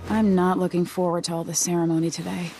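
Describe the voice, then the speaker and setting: A young woman playing a doubtful, restless young empress in a video game, speaking in a measured, regal, introspective way. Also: lowish voice, little pitch movement, warm tone, faint music behind, close to an everyday voice. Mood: weary, candid. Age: young adult. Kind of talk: dramatic game acting and narration.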